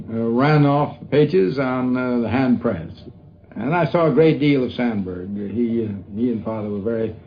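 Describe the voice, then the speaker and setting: A man's voice: medium pitch, a little hiss or hum. An older, educated man with an American accent, reminiscing hesitantly in a dull 1950s radio interview. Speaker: interviewee, university academic. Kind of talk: unscripted reminiscing.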